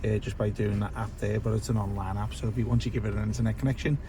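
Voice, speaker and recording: A man with a slightly croaky, worn voice talks through a car stereo system, relaxed and full of "uh"s and "basically". He sits inside a car and films on a phone, with clear noise from the vehicle all around him. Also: lowish voice, fast. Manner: relaxed, filler-heavy explaining. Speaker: car audio installer. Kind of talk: casual product walkthrough.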